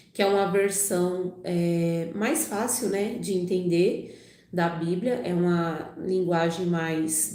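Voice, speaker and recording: A woman's voice: fairly low pitch, mellow, reverberant. A woman hosting a live channel, reading the Bible aloud and chatting, her voice a little off from a cold, with lots of "né" and "tá bom" tags. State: recovering from a cold. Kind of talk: casual livestream talk and Bible reading aloud.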